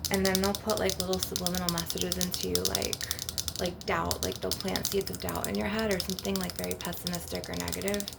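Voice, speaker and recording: A woman with a slightly low voice and plenty of fry talks in a loose, meandering ASMR monologue. Her intonation stays quite flat and her phrasing is informal, like an unscripted healer addressing listeners. Loud clicks of crystal and glass tapping run alongside her. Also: brisk, very noisy. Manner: informal, meandering, frequent "like" and "you know". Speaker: ASMR host and spiritual healer. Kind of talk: rambling casual ASMR monologue.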